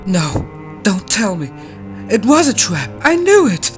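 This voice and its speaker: A man's voice: high voice, big pitch swings, slow pace, music in the background, voice pushed up, exaggerated voice. A man voicing an awkward, easily flustered teenage anime boy in a fan dub, his voice swinging between murmurs and yelps.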